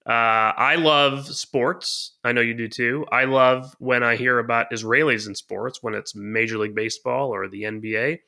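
A middle-aged man co-hosting a podcast, explaining his views at length in a measured way. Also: mid-range voice, fairly even intonation, gravelly, pushed and bright. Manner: measured, long-winded explaining. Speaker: podcast co-host. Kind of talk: podcast talk and interviewing.

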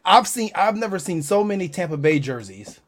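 A man's voice: high-pitched, sing-song, brisk, a little hiss or hum. A man from Buffalo, New York, chatting casually about sports as a podcast guest.

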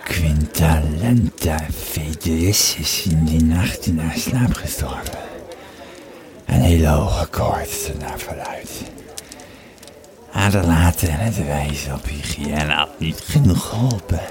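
A man playing a tipsy old soldier of Rome, telling his war tales haltingly in an audio drama. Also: a bass voice, full character voice. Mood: tipsy, musing. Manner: halting, drunken musing with hesitations. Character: tipsy Roman legionary veteran recounting old war tales. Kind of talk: amateur audio drama storytelling. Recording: crowd chatter behind the voice.

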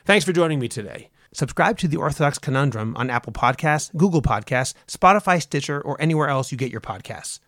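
A man's voice: fairly high pitch, lively intonation, fairly quick, few pauses, fairly bright tone, no room sound, studio quality. A man hosting a podcast, asking questions and reading the closing remarks fluently. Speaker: podcast host. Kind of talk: podcast interview.

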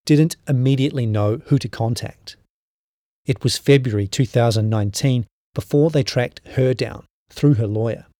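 Mellow New man narrating a documentary. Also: mid-range voice, no echo, clean studio sound. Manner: measured storytelling. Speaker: podcast narrator. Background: background music.

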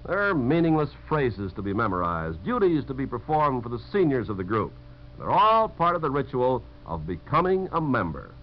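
An American man giving a scripted 1950s radio talk in a conversational, measured way. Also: medium pitch, big pitch swings. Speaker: narrator of message about servicemen. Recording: dull, hissy 1950s radio recording.

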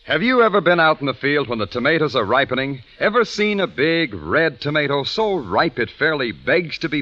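A male announcer reading a hearty, salesy ad script. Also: fairly high pitch. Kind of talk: scripted ad read. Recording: dull, dry old radio recording.

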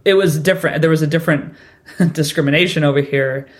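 A man hosting an interview, asking long, wandering questions with soft laughs. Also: fairly high pitch, steady tone, fairly quick. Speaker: podcast host. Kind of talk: conversational interview.

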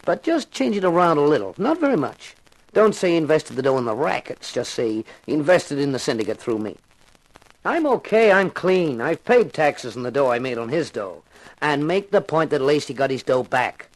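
Slangy American gangster boss. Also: male voice, a little high, sing-song, moderate pace, low-quality recording. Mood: genial, wheedling. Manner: street slang, blunt, affably self-justifying. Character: slangy, genial middle-aged syndicate gangster boss. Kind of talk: 1950s radio drama acting.

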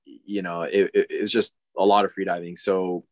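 A man's voice: sits low, level tone, moderate pace, darkish tone, no room sound. A man in his forties, a guest on a podcast, chatting casually and rambling with many fillers and restarts. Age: 40s. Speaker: podcast guest, diver living on a boat. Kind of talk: casual interview chat.

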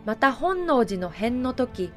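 Female narrator, slightly high voice, slow measured explanatory read, historical audio drama. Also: sing-song, soft background music.

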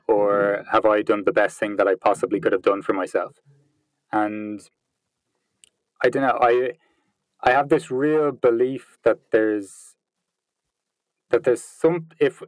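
A man in his late thirties speaks in a slightly low, smooth voice, thinking aloud and often circling back to rephrase. The sound is dry and close, with no room around it. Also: dry recording, rough recording. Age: 30s. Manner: thoughtful, hesitant, many restarts and fillers. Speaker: interview guest. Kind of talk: reflective conversational interview.

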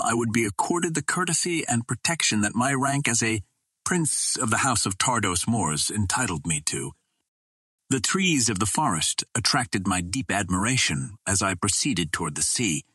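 A man narrating an adventure novel as an audiobook, reading in a measured, formal storytelling manner. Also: lowish voice, a bit bright, slightly rough, no room sound.

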